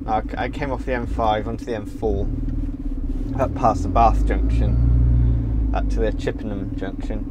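A man's voice: on the low side, animated, unhurried, lo-fi sound. An English man chatting casually while driving a truck on the motorway, rambling and grumbling, recorded by a dashboard camera in the cab. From England. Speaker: truck driver vlogger. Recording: loud road noise in cab. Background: engine and road noise.

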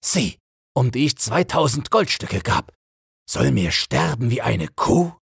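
A greedy old lord from a storybook, acted out by a man, full of threats and wailing. His voice is husky and pushed up from a low register, and the recording is close and dry. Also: sing-song, unhurried, dry recording, exaggerated voice. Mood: furious. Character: raging, greedy old male lord, fearful for his gold.

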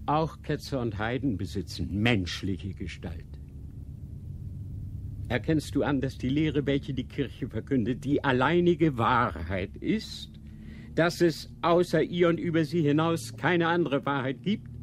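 An actor playing a stern, dogmatic inquisitor in a radio drama, measured and didactic, posing rhetorical questions. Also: male voice, medium pitch, slight background noise, natural voice. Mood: stern, lecturing. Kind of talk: literary radio drama acting.

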